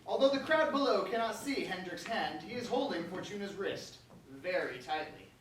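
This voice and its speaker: High-voiced man reading stage directions. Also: expressive, normal speed, a bit bright. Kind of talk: scripted narration at a staged reading. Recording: very echoey room, distant mic, noise.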